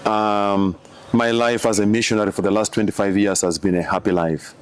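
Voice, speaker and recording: Low-voiced Ugandan priest in his forties, slow and hesitant, faint hiss. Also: little pitch movement. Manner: slow, halting, many fillers. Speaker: missionary priest. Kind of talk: interview answers.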